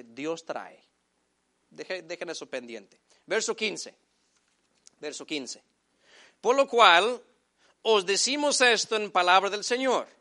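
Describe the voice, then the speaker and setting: A man whose first language is English preaching a sermon in Spanish, addressing the congregation as brothers and slowing down to stress key phrases. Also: high-pitched, sharp tone. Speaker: pastor.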